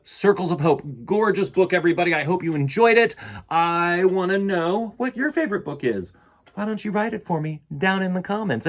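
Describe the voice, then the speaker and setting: A middle-aged man with a husky voice, hosting a children's storytime in an expressive, theatrical way. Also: a little high. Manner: theatrical, varied delivery addressed to young listeners. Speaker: children's storytime host and reader. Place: indoor room lined with bookshelves.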